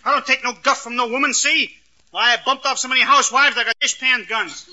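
A man's voice: very high voice, fairly quick. A man acting in an old radio comedy as a timid, stammering wisecracker bluffing as a tough gangster. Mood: blustering, tough. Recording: noisy, muffled old radio recording.

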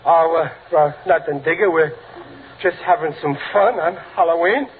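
A man's voice: a little high, moderate pace, natural voice. A male actor playing a cowardly, blustering middle-aged dad in old-time radio comedy, nervous and hesitant. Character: jumpy, cowardly, blustering working-class dad. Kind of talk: 1940s-50s radio comedy acting. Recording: noisy, tinny old radio broadcast.

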